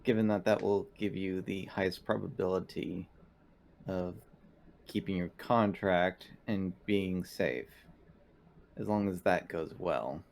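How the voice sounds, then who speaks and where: A man voicing a polite female android assistant in a tabletop game, speaking in precise, measured, formal phrases. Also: low-pitched, creaky.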